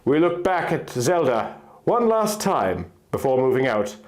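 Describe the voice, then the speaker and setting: Animated man giving a theatrical story reading. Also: mid-range voice, a little slow. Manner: theatrical, exaggerated. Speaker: narrator. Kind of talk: dramatic reading of fan fiction.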